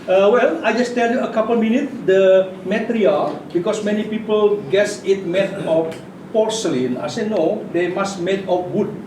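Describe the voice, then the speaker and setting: A high-pitched man with an Indonesian accent gives an informal craft demonstration. He talks in short, halting, simple phrases. The voice is picked up from a distance in an echoey space with clear background noise. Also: a little mellow. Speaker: puppet workshop guide and demonstrator.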